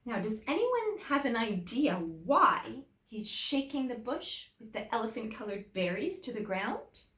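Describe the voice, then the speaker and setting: A woman teacher reading a children's picture book aloud in an expressive, deliberate way, with emphatic phrasing for young listeners. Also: on the high side. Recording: echoey room.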